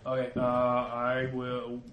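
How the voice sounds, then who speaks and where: A man's voice: mid-range voice, monotone, slow pace, muffled recording. A man playing a tabletop game, speaking plainly and asking questions about his options. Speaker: player. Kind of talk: casual tabletop play. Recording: echoey room with clear background noise.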